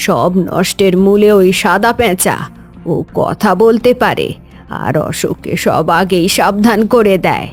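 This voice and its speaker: Sneaky gossip, played by a woman: mid-pitched, conspiratorial, animated-story delivery, music behind. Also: unhurried, natural voice. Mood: alarmed. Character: sneaky gossiping female informer of the household. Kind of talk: Bengali animated story voice acting.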